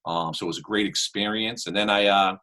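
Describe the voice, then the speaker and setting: A middle-aged man with a Boston accent, deep in pitch and forceful in tone. He chats loosely as a guest coach on a podcast, piling on "you know" and "um" as he goes. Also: fairly even intonation, brisk. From Worcester, Massachusetts. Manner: rambling, full of "you know" and "um". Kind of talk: casual interview chat.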